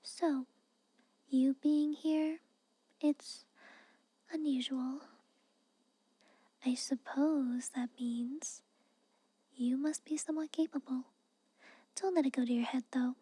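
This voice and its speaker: A woman playing a haughty, guarded but secretly caring young castle maid in a soft, breathy, whispered ASMR roleplay, hesitant and chiding. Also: sits high, steady tone, takes it slowly, long pauses, dry recording. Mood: aloof, grudging. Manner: hesitant, reluctant, aloof and chiding.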